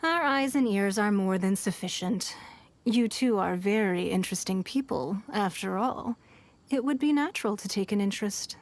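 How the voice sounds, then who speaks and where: A woman plays a powerful, wealthy lady of a fantasy video game. She is shrewd and composed, with a medium-pitched voice. Her speech is formal, measured and courteous. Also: lively intonation, lightly acted. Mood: amused, assured. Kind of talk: scripted video game cutscene dialogue.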